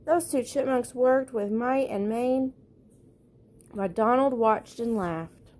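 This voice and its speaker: A woman's voice: on the high side, slow pace, a little mellow. A woman reading a children's storybook aloud at bedtime, as the narrator. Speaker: storybook reader.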